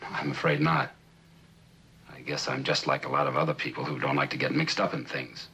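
A reluctant witness in a 1960s television courtroom drama. His voice is extremely low and rough, with a firm, tense edge. He answers carefully, with halting pauses. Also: level tone, bright, forceful tone, exaggerated voice. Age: middle-aged. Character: guarded, reluctant middle-aged male sculptor on the stand. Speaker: actor as witness.

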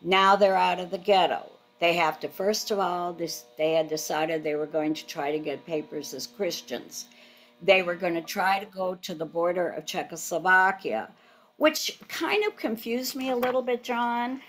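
A woman's voice: on the low side, steady tone, moderate pace, fairly bright tone, soft background music, rough recording. An older woman whose first language is Hungarian, interviewing a guest over a video call from her home. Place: home living room. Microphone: laptop webcam video call.

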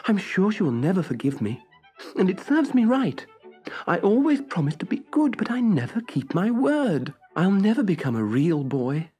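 A man's voice: high-pitched, sing-song, moderate pace, warm tone, faint music behind, pitched above the performer's own voice. A man voicing an anxious young boy puppet in a storybook, fretful and pleading. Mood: fretful, self-reproachful.

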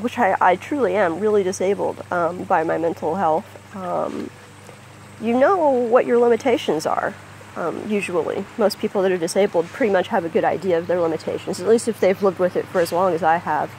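A person talking casually outdoors by a backyard pond into a handheld phone, rambling and hesitant with many pauses and ums. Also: medium pitch, darkish tone, a little hiss or hum, low-quality recording. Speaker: vlogger. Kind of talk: casual spoken vlog. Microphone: phone held by the speaker.

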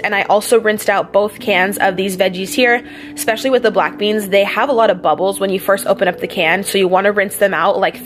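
A young woman vlogger talking her viewers through a meal prep in a relaxed, chatty voice-over with easy fillers. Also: mid-range voice. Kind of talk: casual cooking voice-over. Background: faint background music.

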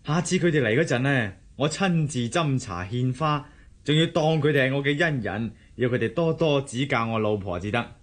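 A man's voice: mid-range voice, lively intonation, moderate pace, natural voice. A man with a Hong Kong Cantonese accent acting an earnest, devoted young husband in a radio drama, defensive and teasing by turns.

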